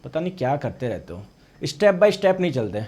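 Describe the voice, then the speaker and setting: Lively high-voiced male science teacher, hoarse. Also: moderate pace. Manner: lively lecturing, repeats each term in Hindi and English; asks and answers his own questions. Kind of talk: online exam-prep class.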